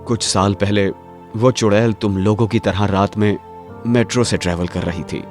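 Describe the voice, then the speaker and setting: A man playing a calm adult official in an animated horror story, speaking in a measured, grave way. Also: on the low side, faint music behind, close to an everyday voice. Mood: grave, explaining. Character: calm adult male official explaining to a child.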